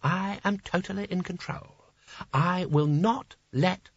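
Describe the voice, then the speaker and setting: A man voicing a pompous, irritable wizard ringleader in an audiobook, bossing people about briskly and with exasperation. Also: on the high side, big pitch swings, sharp tone, no room sound, muffled recording, pitched above the performer's own voice, heavily acted. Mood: forced calm.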